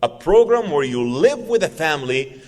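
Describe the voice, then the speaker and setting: A man's voice: fairly high pitch, sing-song, moderate pace, a little mellow, big-room sound, soft background music. A man, telling his personal story to an audience in an earnest, emphatic way, repeating words for stress. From Saudi Arabia. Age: 40s. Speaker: public speaker.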